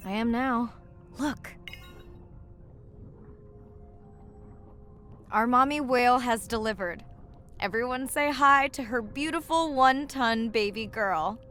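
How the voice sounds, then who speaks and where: A woman playing a warm, earnest and playful young diver and ocean researcher in a naturalistic video game performance. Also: a little high, moderate pace, faint music behind, natural voice. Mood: excited.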